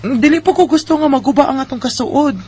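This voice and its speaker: A woman's voice: high voice, fast talker, close to an everyday voice. A woman with a Filipino Bisaya accent playing a shy, hesitant young woman in an amateur radio drama. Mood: hesitant, protesting. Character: Mika, shy, hesitant young woman guarding her feelings. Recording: noisy homemade radio drama recording.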